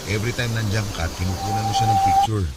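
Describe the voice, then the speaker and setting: A man's voice: lowish voice, wildly swooping pitch, fast, heavy background noise. A man playing an easygoing, amused boss in a Filipino radio drama, speaking matter-of-factly with a Filipino accent. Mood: matter-of-fact, teasing. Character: easygoing, amused male boss, matchmaking friend. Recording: loud music under the voice.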